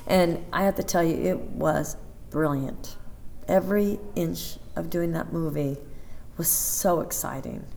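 A woman in her fifties, a dancer, chatting in an interview and telling animated stories with many restarts and asides. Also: lowish voice, a little slow, gravelly, slight background noise. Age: 50s. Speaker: interview guest, Broadway dancer. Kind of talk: casual interview chat.

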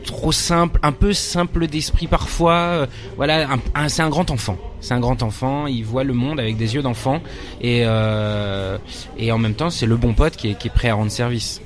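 A young man from France who acts and directs on stage talks through his answers in an interview. He stops often, fills gaps with hesitations and restarts his sentences. His voice sits at an ordinary middle pitch for a man. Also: fairly even intonation, normal speed. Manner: hesitant, many fillers and restarts.

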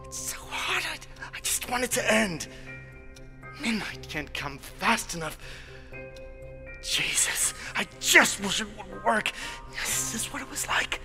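A man's voice: high-pitched, big pitch swings, slow pace, gravelly, music in the background, heavily acted. A man acting a desperate condemned doctor in an audio drama, muttering breathily to himself and stammering. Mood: panicked, desperate. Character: desperate condemned doctor on death row, plotting escape. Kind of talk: audio drama acting, whispered interior monologue.

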